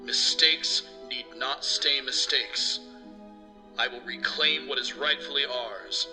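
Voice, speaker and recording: A man's voice: mid-range voice, fairly even intonation, slow pace, music in the background, close to an everyday voice. A man playing a menacing schemer who proclaims doom in a declamatory, ominous audio drama monologue.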